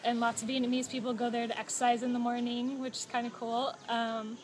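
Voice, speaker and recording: A woman in her thirties chatting casually on a phone livestream outdoors, relaxed and informative with fillers and small laughs. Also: a little high, fairly quick. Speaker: travel vlogger. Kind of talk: casual live chat. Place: outdoors at a home stay patio. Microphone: phone held close. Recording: outdoor noise behind.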